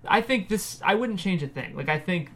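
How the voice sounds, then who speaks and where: Lively, higher-pitched man chatting. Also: normal speed. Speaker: table read show panelist. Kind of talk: casual supportive discussion of screenwriting.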